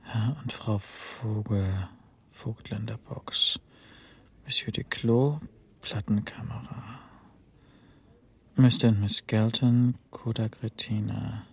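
An actor playing a dutiful informant who dictates slowly and deliberately in a radio drama. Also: male voice, fairly low pitch, fairly even intonation, slightly rough, heavily acted. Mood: careful, subdued. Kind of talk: German radio drama acting.

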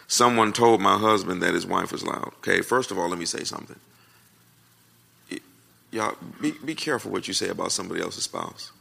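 An American man preaching and answering questions in a naturally loud voice, with a folksy, emphatic way of talking that repeats phrases for effect. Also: sits low, lively intonation, a little fast, vocal fry, breathy edge. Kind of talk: conversational sermon with question and answer.

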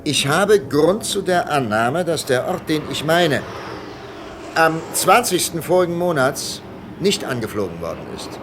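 An actor playing a patient, shrewd investigator in a German radio crime drama, questioning deliberately with thoughtful pauses. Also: male voice, on the high side, big pitch swings, noisy background. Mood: businesslike. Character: patient, methodical male FBI investigator, probing and shrewd.

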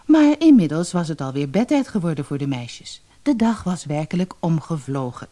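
A woman narrating a children's fairy tale as a storyteller, reading in an expressive, dramatized way. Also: low-pitched, moderate pace.

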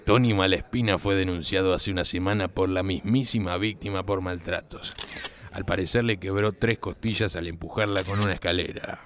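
A deep-voiced policeman, acted by a man in a comic crime radio play. He reports to his inspector in a formal, matter-of-fact, deadpan way. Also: normal speed.

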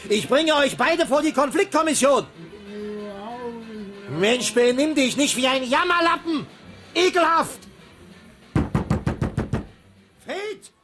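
An actor playing an angry circus boss in a radio drama, calling out stern threats. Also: male voice, very high voice, a bit bright, exaggerated voice. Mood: furious. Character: angry male circus boss scolding a drunkard. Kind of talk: 1980s radio drama dialogue.

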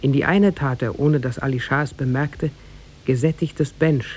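A man narrating a tale as a formal, measured storyteller in 1950s German radio style. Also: medium pitch, steady tone, a little mellow, slight background noise.

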